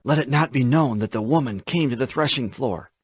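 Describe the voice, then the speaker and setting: An actor playing an honorable, resolute landowner of ancient Israel in a solemn dramatized scripture reading. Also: male voice, medium pitch, a little fast, slightly rough, no room sound, studio quality, natural voice. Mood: earnest, reassuring.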